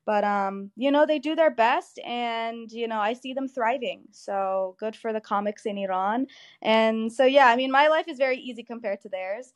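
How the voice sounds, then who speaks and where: Young woman comic from ohio, chatty and self-deprecating, a bit high pitched. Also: normal speed. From United States (Ohio). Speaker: guest comedian. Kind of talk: casual interview chat.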